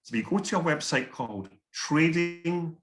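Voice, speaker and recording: Scottish man with a bright voice. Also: a little high, expressive, moderate pace, soft background music. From Scotland. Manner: earnest, with frequent repeated words. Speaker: guest speaker. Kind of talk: online workshop presentation.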